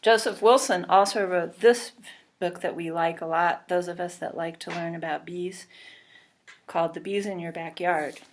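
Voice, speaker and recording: A woman reading a children's storybook aloud, expressive and deliberate, pausing between phrases and adding short asides. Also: fairly low pitch. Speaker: storybook reader. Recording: clearly noisy recording with clicks.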